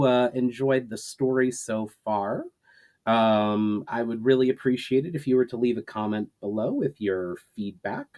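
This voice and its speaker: A man's voice: mid-range voice, a little mellow. A man with a mid-range voice presents his own fiction as author and host. He speaks as a storyteller on his own reading channel. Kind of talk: dramatic story narration and casual sign-off.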